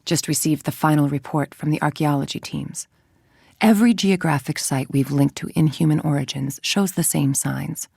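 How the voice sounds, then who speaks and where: A woman playing a cold, arrogant villain scientist in a video game, speaking in a measured, clinical monologue with a breathy, creaky voice that trails toward a whisper. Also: low-pitched, darkish tone, dry recording, studio quality, exaggerated voice. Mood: weary, lonely. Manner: measured, clinical, precise diction. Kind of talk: video game voice acting, confessional audio log monologue.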